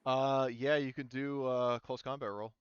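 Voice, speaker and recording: A man's voice: medium pitch, fairly even intonation, measured pace, clean tone, dry recording, lo-fi sound. A man running a tabletop roleplaying game as game master, casually explaining rules.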